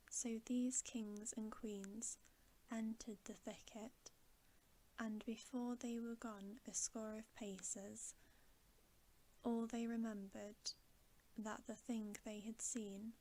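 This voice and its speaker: A woman reads a bedtime story in a soft, hushed voice that often slips into whispering. Her delivery is slow and very even, with little rise or fall. It is a soothing ASMR narration. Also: medium pitch. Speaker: ASMR storyteller, channel host.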